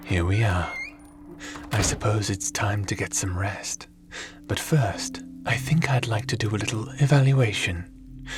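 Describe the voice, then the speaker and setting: A man playing a seasoned, caring and wry adventurer in an ASMR audio roleplay, speaking softly in a measured, gently theatrical way. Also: fairly low pitch. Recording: music under the voice.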